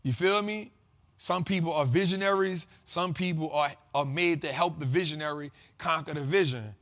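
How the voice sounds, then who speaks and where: A man hosts a money talk show in loose, slangy conversation. His voice sits a little higher than most men's. He stacks repeated lines the way a preacher does. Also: normal speed, fairly bright tone. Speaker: host of a finance talk show. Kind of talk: casual motivational monologue.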